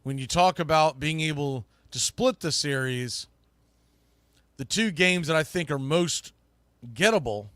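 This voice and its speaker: A man's voice: on the high side, expressive, measured pace, a bit bright, no echo. An American man hosting a baseball podcast, giving emphatic, opinionated, folksy off-the-cuff commentary. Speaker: baseball podcast host and broadcaster.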